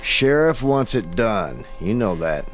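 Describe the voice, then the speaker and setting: An actor playing a dutiful, weary small-town deputy in a western radio drama, plain-spoken and folksy. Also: male voice, fairly low pitch, big pitch swings, takes it slowly, soft background music. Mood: impatient.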